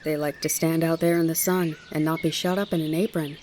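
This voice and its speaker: Woman reading as old mountain grandfather, storybook, measured, plain-spoken, lowish pitch. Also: flat delivery, darkish tone, a little hiss or hum, close to an everyday voice. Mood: kindly, matter-of-fact. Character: gruff-minded, kindly old mountain grandfather.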